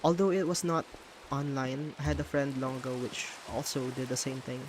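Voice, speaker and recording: A man's voice: mid-range voice, steady tone, a little hiss or hum. A man from the Philippines with a Filipino accent and Tagalog as his first language, chatting casually as he builds in a game and stopping to hunt for English words. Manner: thinks aloud, pauses to hunt for English words. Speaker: streamer.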